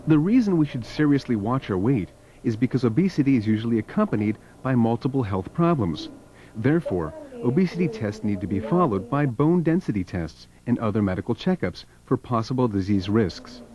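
A man's voice: mid-range voice, big pitch swings, drawn-out words, mellow, slight background noise. A man narrating a scripted documentary as its narrator. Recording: dull lo-fi video soundtrack. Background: background music.

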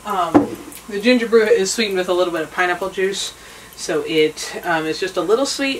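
An American woman in her thirties giving a casual, chatty kitchen demonstration with rambling asides. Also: on the low side. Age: 30s. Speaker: vlogger demonstrating home canning. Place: small home kitchen. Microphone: camera a few feet away. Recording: distant camera mic, clearly noisy room.

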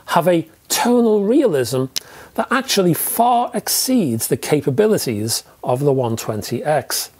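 A middle-aged British man presents a review to camera. His voice sits at an average male pitch, and he speaks in measured, descriptive sentences. Key phrases get deliberate emphasis. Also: darkish tone. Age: 50s. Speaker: product reviewer and host. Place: indoor room with record shelves.

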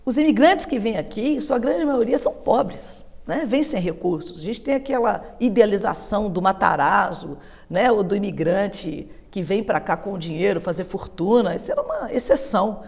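A woman's voice: mid-range voice, normal speed. Woman, 50s, historian guest; fluent, explanatory; lapel mic, studio reverb. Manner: steady, fluent, explanatory, with frequent tag questions. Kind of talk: explanatory interview answers. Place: television studio.

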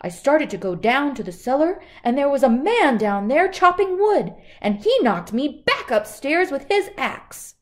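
Woman reading a scared robber man, urgent, breathless, loud, rising pitch. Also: big pitch swings, measured pace, few pauses. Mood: frightened, urgent. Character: frightened male robber telling his tale.

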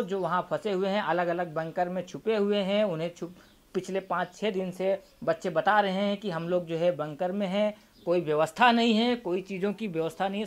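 A man reporting on location into a handheld microphone, interviewing and talking to camera with repetitive phrasing. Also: high voice. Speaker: field reporter. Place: small crowded indoor office.

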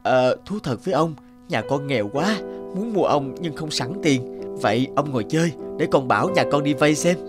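A man's voice: fairly high pitch, expressive, moderate pace, music in the background, close to an everyday voice. A man as a humble young peasant husband. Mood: hesitant, polite. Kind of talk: Vietnamese animated folk tale dialogue.

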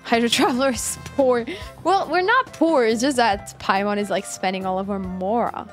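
A woman's voice: a little high, normal speed. A woman in her twenties, a game streamer, chatting casually while she plays. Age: 20s. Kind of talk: casual live chat while gaming. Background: game music.

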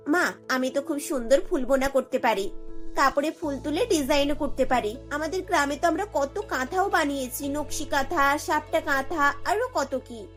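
A woman's voice: high voice, normal speed, a bit bright, faint music behind. A woman voicing a polite, earnest young village daughter-in-law in a cartoon, respectful and pleading. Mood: pleading, eager.

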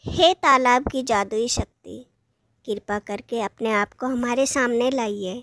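A woman's voice: a little high, measured pace, a bit bright, dry recording, clean studio sound. A woman voicing a young forest fairy, concerned and helpful. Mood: pleading.

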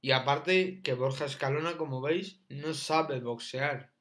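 A young man hosting a reaction video, mocking with loud repeated exclamations as he comments on clips from his room. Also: a little high, measured pace, noisy background.

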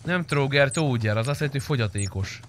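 Animated, bright-voiced young man gaming. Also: mid-range voice, a little hiss or hum. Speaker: gaming streamer. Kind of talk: casual banter while playing an online roleplay game. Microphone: close streamer microphone.

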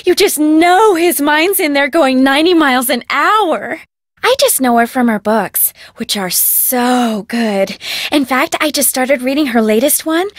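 A woman's voice: sits high, dry recording, studio quality. A woman voicing a bubbly, bossy, spoiled rich young heiress in a video game, with an American accent and perky, dramatic, casual phrasing. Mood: gushing. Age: young adult.

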